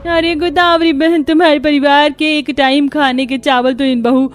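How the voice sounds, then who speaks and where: Woman voicing catty high-voiced neighbour auntie. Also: fairly even intonation, moderate pace, natural voice. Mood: mocking. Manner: needling, gossipy.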